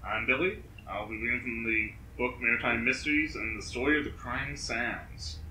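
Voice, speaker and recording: A man reading a ghost story from a book, a little haltingly. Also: medium pitch, steady tone, pushed and bright. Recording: echoey room with faint background noise.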